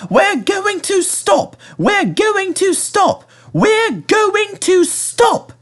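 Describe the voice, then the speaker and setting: Shrill, grumbling railway coaches. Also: male voice, very animated, exaggerated voice. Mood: shrill protest. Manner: slow, shrill whining. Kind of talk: storybook character voice.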